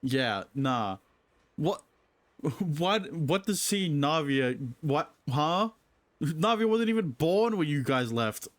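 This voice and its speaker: A man's voice: on the high side, sing-song, slow, no echo. A man giving casual, sceptical live commentary as he plays a game, talking back to the characters. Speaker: gamer reacting during playthrough.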